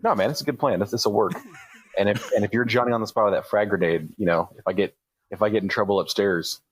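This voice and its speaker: A man's voice: fairly low pitch, fast talker, husky, no room sound. A man talking casually as a player in a tabletop roleplaying game, improvising as he goes.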